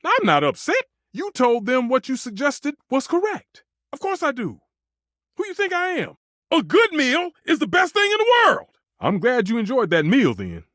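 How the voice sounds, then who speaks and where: A man's voice: very high voice, huge pitch swings, normal speed, fairly bright tone, dry recording, clean studio sound, exaggerated voice. A man voicing a passionate, proud kitchen stove in a video game, a dramatic food purist who speaks emphatically and with grand flourishes. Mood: indignant, then savoring, wistful. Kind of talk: theatrical video game character dialogue.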